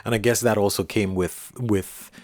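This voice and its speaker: A man hosting an interview podcast, asking measured questions and affirming the guest with murmurs. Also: on the low side, steady tone, darkish tone, dry recording, studio quality. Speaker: podcast host.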